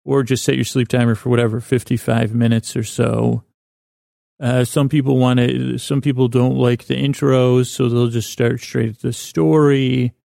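A man hosting a bedtime podcast, giving a meandering, halting, self-deprecating monologue that keeps trailing off mid-thought. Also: mid-range voice, flat delivery, vocal fry, warm tone, dry recording, clean studio sound. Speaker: podcast host.

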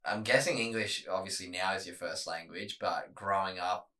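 A man with a very low but bright voice hosts a podcast. He asks long, winding questions and affirms what his guest says. He sits a little back from the mic. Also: moderate pace, distant mic. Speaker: podcast host. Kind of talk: casual interview questions.

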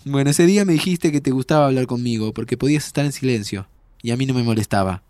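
A man's voice: mid-range voice, fast, darkish tone, no room sound, natural voice. Actor as young argentine guy, time traveller, blunt n teasing but caring, really dry recording. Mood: warm reminiscing. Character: playful, frank, caring 25-year-old male time traveller. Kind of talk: naturalistic audio drama dialogue.

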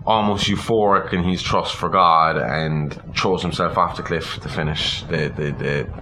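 Low-voiced man, rambling casual chat. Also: steady tone, measured pace, noisy background. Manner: rambling, sweary, opinionated. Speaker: podcast co-host. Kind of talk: casual film and story chat.

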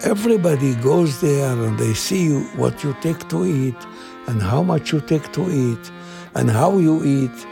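A man in his eighties from Iraq with an Iraqi Arabic accent, a native Arabic speaker, telling personal memories in halting, repetitive phrases. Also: mid-range voice, lively intonation, gravelly, over music. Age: 80s. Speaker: interviewee recalling his youth. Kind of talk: personal oral storytelling.

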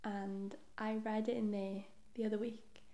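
Young woman for a guest spot: warm, slightly fried voice, casual and chatty, in a roomy space. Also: mid-range voice, level tone, unhurried. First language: Welsh. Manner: chatty, self-deprecating, often trails into soft asides. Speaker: podcast guest. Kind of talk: casual chat. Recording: roomy echo.

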